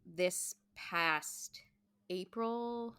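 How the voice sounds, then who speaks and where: A woman's voice: lowish voice, drawn-out words, fairly bright tone, clean tone. A woman co-hosting a podcast in casual chat, conversational and loose, often saying "like" and "you know". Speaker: podcast co-host, stage performer.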